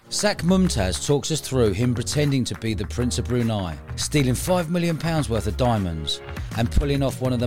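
Upbeat host, animated delivery, clear music bed behind. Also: male voice, medium pitch. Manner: upbeat, lively, says mate a lot. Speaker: podcast host. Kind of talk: conversational podcast interview.

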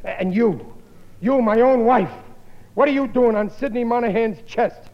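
A man's voice: extremely high pitch, a little slow. An actor with an American accent playing a blustering, dim-witted working-class husband and father in a 1950s radio sitcom, melodramatic and ungrammatical. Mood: indignant. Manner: blustery, exclaiming, ungrammatical everyman talk. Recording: muffled vintage radio broadcast.

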